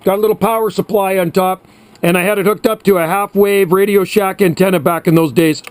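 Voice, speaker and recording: A man's voice: high-pitched, fairly even intonation, fairly quick, background noise. A man of about sixty chatting casually and at length as a hobby radio operator, in a reminiscing way. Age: around 60. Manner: chatty, reminiscing, uses radio sign-offs.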